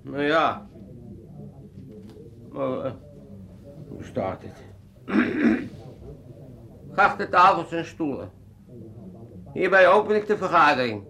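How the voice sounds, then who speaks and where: A man's voice: a little high, very animated, slow pace, vocal fry, pauses often, exaggerated voice. An older man playing a bossy, cantankerous family patriarch in a comic radio play, theatrical and blustering. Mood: self-important. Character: cantankerous, bossy old Amsterdam family patriarch with grand ambitions.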